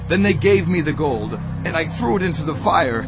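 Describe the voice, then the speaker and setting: Aaron, defensive priest, fairly high, lively pitch, audio drama, muffled sound. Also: male voice, a little fast. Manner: defensive, pleading, animated. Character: Aaron, defensive, excuse-making male priest. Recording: dull lo-fi audio with background music.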